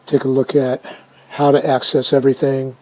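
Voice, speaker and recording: A man casually narrating a hands-on restoration video, unhurried and halting as he thinks aloud. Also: mid-range voice, flat delivery, a little mellow, low-quality recording. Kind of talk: casual narrated how-to commentary.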